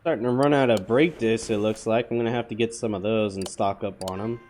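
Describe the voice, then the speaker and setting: A man with a hoarse voice from a cold gives rambling, offhand commentary while playing, talking to viewers with plenty of fillers. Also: fairly low pitch, fairly even intonation, brisk, darkish tone, a little hiss or hum. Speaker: gaming channel host. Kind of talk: casual gameplay commentary.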